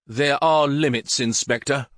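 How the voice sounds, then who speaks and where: A man's voice: mid-range voice, fairly even intonation, measured pace, a bit bright, dry recording, studio quality, voice pushed up, natural voice. A man voicing a stiff, formal, easily heated middle-aged lawyer in an audiobook. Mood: defensive.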